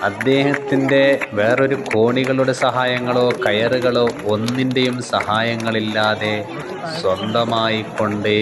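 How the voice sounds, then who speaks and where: A man narrating a video for viewers in deliberate, halting phrases. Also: mid-range voice. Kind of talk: explanatory voice-over narration addressed to viewers. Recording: loud crowd and outdoor noise behind.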